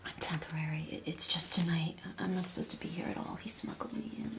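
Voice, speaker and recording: An actress playing a guarded, anxious handmaid in an audio drama, speaking hesitantly and earnestly. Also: female voice, low voice, flat delivery, fast, whispered, natural voice. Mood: hushed, earnest.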